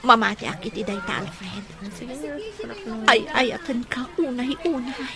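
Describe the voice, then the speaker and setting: Earnest, troubled woman, slightly high voice acted. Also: slow pace, over loud music. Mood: earnest, tender. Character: hurt older sister in love, troubled and earnest. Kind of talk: dramatic acting in an audio romance drama.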